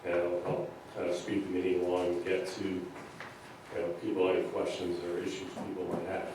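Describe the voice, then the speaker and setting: A man's voice: deep voice, monotone. A man speaking hesitantly as he chairs a public meeting in an informal, procedural way. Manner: hesitant, halting delivery. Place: indoor public meeting room. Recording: distant mic, very echoey noisy meeting room.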